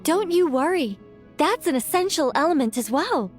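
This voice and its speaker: A woman playing a whimsical young witch in a video game, self-assured and breezy, musing in a gently theatrical way. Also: high voice, lively intonation, moderate pace, soft background music. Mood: wistful, reassuring.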